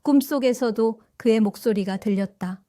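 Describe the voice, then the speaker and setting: A woman in her seventies narrating her own story for an audio drama, in a gentle, reflective and wistful way. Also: on the high side, normal speed, no room sound, studio quality. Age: 70s. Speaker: first-person narrator.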